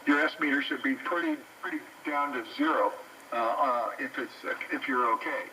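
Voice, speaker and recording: A man's voice: medium pitch, moderate pace. A man giving off-the-cuff advice as an amateur radio operator, heard through a receiver. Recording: distant, sharp radio reception with hiss.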